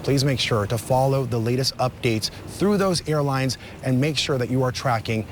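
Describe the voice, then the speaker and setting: A man's voice: medium pitch, normal speed. A man delivers a live television news report in a formal, emphatic way. His voice sits in a middle register. Faint outdoor vehicle noise runs behind him. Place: outdoors on a city street at evening.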